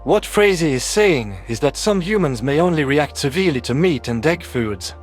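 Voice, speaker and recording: An earnest alien medic acted by a man with a fairly high male voice. He speaks in an explanatory way for a science fiction audio story, over faint music. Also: animated, normal speed. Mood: explaining. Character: earnest male four-legged alien doctor.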